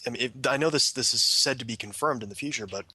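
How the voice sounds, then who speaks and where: A man in a casual panel discussion, giving opinions while pausing and searching for words. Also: mid-range voice, bright, forceful tone, breathy edge, dry recording. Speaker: podcast panelist.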